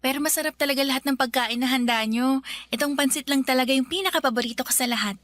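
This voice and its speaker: Radio drama: polite twentysomething guest, bubbly and high-pitched. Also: female voice, fast, a bit bright, no echo. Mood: cheerful. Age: 20s. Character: young woman in her late twenties, polite guest.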